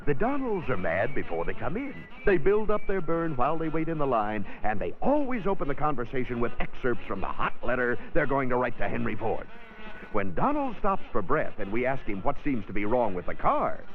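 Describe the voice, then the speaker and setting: A man's voice: mid-range voice, huge pitch swings, fairly quick. An actor playing an exasperated, sardonic car service man narrating a comic 1960s training film in slangy, theatrical style. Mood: mocking exasperation. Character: wisecracking, exasperated car dealership service man griping about customers. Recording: tinny, band-limited 1960s film soundtrack, music behind.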